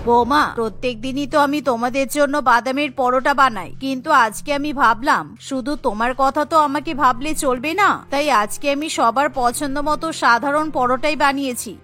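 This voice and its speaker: A woman's voice: high-pitched, a little fast, hardly any pauses, pushed and bright, soft background music. A woman voicing a weary, scolding middle-aged mother-in-law in a cartoon, reproachful and explaining at length. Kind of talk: cartoon voice acting.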